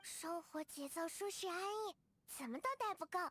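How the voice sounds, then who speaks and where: A woman's voice: very high-pitched, moderate pace, a bit bright. A woman voicing a sweet, shy, grateful young girl adventurer in a video game, with a polite and earnest manner. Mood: content, happy. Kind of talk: video game character voice acting.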